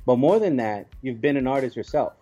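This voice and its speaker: A man with a mellow, relaxed voice answers as an interview guest. He explains things casually and somewhat haltingly, building lists of examples. Soft music sits faintly underneath. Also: mid-range voice, dull audio.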